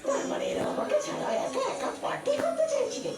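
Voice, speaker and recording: A woman voicing a suspicious, excitable young donkey in a children's cartoon, dramatic and whiny. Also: extremely high pitch, fairly quick. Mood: indignant, sulky. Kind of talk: exaggerated children's cartoon dubbing. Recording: echoey, distant, loud music underneath.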